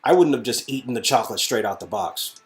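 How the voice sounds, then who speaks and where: A man's voice: medium pitch, animated, fast talker, breathy edge. A man reading an interactive game aloud, mixing story narration with casual asides. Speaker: reader performing an interactive game.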